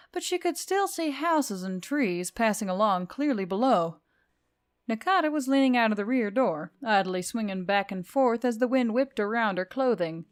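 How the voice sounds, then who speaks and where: A woman reading a story aloud as an expressive narrator. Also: mid-range voice, dry recording, studio quality. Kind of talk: expressive audiobook narration of fiction.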